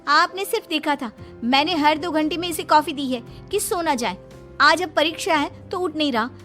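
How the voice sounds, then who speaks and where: A woman's voice: high-pitched, normal speed, sharp tone, soft background music. A young woman voicing a talkative, bossy but caring teenage big sister who scolds and fusses in a moral story. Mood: scolding, annoyed. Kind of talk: acted moral story dialogue.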